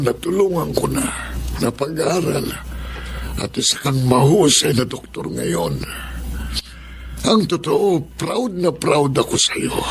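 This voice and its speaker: A man playing a gentle, contented old doctor and mentor on his deathbed, solemn and fatherly, in a Filipino radio drama. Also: medium pitch. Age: older. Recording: noisy ambience behind the voice.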